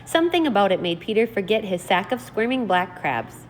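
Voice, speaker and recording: A woman teacher reading aloud and explaining in an expressive, deliberate, instructional way. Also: medium pitch, slight background noise. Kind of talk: read-aloud lesson, narrating and explaining vocabulary.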